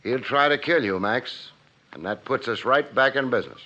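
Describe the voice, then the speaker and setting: An American actor playing a cool-headed, wisecracking private investigator in a 1950s radio drama, with a wry, clipped, hard-boiled delivery. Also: male voice, medium pitch, moderate pace, sharp tone. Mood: grave, shrewd. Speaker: lead actor and narrator. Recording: muffled old radio broadcast, faint music.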